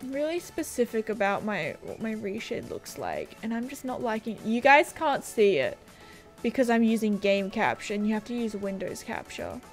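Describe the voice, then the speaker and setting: A woman's voice: a little high, sing-song, moderate pace, soft background music. A young woman streaming a horse game, chatting casually from home on a headset and reading viewer messages aloud. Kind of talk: casual livestream chat. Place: home room at a gaming setup.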